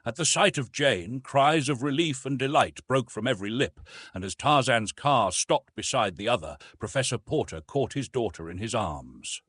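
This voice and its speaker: A man's voice: mid-range voice, animated, normal speed, fairly bright tone, husky, dry recording. A man reading an adventure novel as a narrator, in a dramatic scripted style. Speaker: audiobook narrator.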